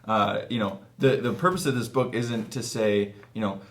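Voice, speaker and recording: A man's voice: lowish voice, fairly even intonation, moderate pace. A man in his twenties talking casually as an interview guest in a small meeting room. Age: 20s. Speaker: author and guest. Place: small office meeting room, seated at a table.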